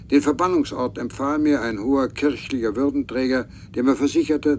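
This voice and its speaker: An actor playing a solemn, formal official reading a letter deliberately in a German radio play. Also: male voice, medium pitch, a little mellow, slight background noise, natural voice.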